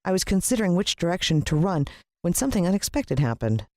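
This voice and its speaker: A woman with an American accent giving a dramatic, expressive scripted narration of a children's story. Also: lowish voice, quick pace, dry recording, clean studio sound. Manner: dramatic, playing a child's first-person point of view.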